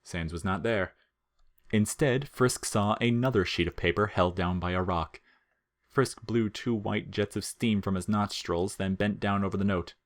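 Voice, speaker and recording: A man's voice: on the low side, moderate pace. A man reading scripted fiction aloud as a narrator.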